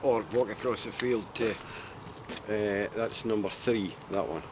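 An older man with a Scottish accent, a local farmer chatting casually outdoors, plain-spoken and trailing off quietly. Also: lowish voice, fairly even intonation, slow. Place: outdoors on a farm road at dusk. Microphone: handheld camera mic. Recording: outdoor camcorder audio with background noise.

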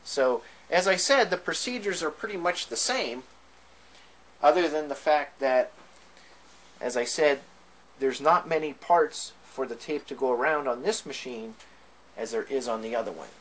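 A man's voice: on the high side, normal speed, fairly bright tone, low-quality recording. A man giving an informal hands-on equipment tutorial, explaining each step as he goes. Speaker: demonstrator of vintage tape equipment. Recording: background noise on home video.

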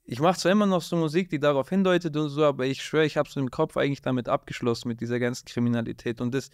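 Man, animated storyteller, dry close studio mic, mid-pitched. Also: racing, no room sound. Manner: street slang, constant "Bruder", animated storytelling. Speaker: guest, rapper. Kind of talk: casual interview storytelling. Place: dark studio with blue lights. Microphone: studio mic on boom arm.

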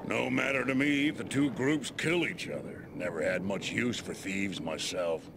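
A gruff old shopkeeper from a fantasy game, with a low, gravelly voice. He is folksy and plain-spoken, over clear background noise. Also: fairly even intonation, moderate pace. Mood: gruff, friendly. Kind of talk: fantasy video game dialogue.

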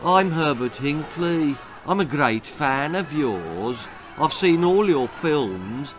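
A man's voice: fairly high pitch, animated, slow, over music. A man voicing a polite, dazed young boy in a storybook reading, with a meek, hesitant manner. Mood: meek politeness.

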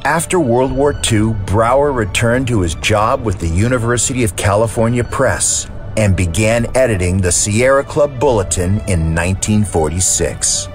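A man's voice: lowish voice, normal speed, few pauses, over music. A man narrating a scripted history documentary.

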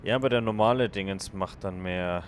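Lower-voiced man chatting while gaming. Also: brisk, a little hiss or hum. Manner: thinking aloud, chatting with stream viewers. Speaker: let's play gamer. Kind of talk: casual gameplay commentary.

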